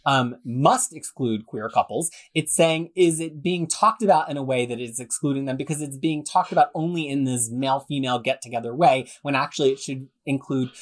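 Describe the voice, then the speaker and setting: A man's voice: mid-range voice, a little fast, hardly any pauses. A man hosting a podcast discussion, steering the talk and handing over to the panel. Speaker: podcast host.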